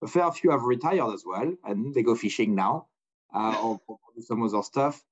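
A man's voice: mid-range voice, lively intonation, moderate pace, slightly rough, highs cut off. Rambling man hosting a business podcast. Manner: rambling, many restarts, non-native phrasing. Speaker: podcast host. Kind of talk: casual business interview.

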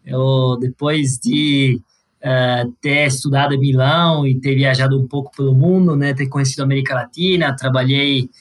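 A man's voice: medium pitch, steady tone, normal speed. A man from Sicily with an Italian accent, a native Italian speaker, explaining as an interview guest and often adding small tag questions. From Sicily, Italy. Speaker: guest, corporate venture executive.

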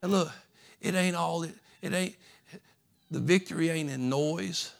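A man preaching a sermon as pastor, folksy and repetitive, building from soft talk to loud fervent preaching. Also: a little high, a little slow, gravelly. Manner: folksy, repeats phrases.

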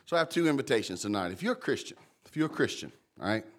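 Big-voiced pastor preaching. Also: male voice, medium pitch, rapid-fire. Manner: lively, conversational preaching, calls out congregants by name. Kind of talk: sermon. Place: church.

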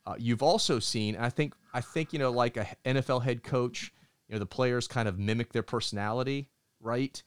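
A middle-aged man co-hosting a casual podcast, talking in an animated, opinionated way and acting out quotes in other voices. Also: mid-range voice, brisk, clean tone. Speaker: podcast co-host.

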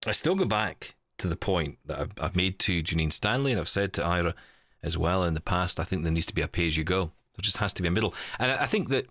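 A man's voice: low-pitched, lively intonation, fast, a bit bright, dry recording, clean studio sound. A man hosting a casual podcast chat, speaking in a conversational, opinionated way. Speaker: podcast host.

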